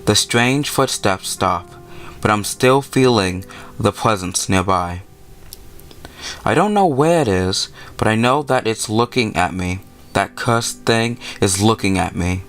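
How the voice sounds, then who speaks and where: Male gaming host, lowish pitch, informal read-aloud of a visual novel, trips on words and corrects himself. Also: gravelly, fairly bright tone. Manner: stumbles over words while reading, correcting himself. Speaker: let's-play host. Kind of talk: reading a visual novel aloud with casual commentary.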